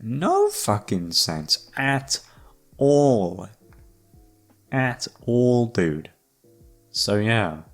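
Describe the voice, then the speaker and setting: A man's voice: medium pitch, huge pitch swings, drawn-out words, long pauses. A young man rambling casually to his listeners, with sweary, slangy, self-mocking talk. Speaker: YouTube voice actor and creator. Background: background music.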